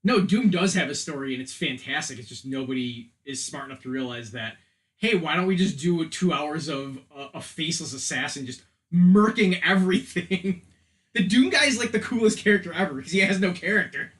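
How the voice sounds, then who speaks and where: A man's voice: fairly high pitch, lively intonation, brisk, fairly bright tone. A man in his thirties co-hosting a podcast, chatting casually about films in a rambling, jokey, opinionated way. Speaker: podcast co-host. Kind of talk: casual opinionated film chat.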